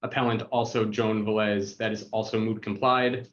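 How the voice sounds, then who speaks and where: A man's voice: lowish voice, little pitch movement, normal speed, lo-fi sound, a little hiss or hum. Formal man reading a case list. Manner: formal, methodical, reads numbers and addresses. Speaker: city attorney.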